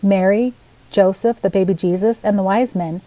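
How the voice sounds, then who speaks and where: A woman's voice: mid-range voice, steady tone, moderate pace, mellow, dry recording, close to an everyday voice. A woman voicing a polite, earnest widowed mother in a storybook tale.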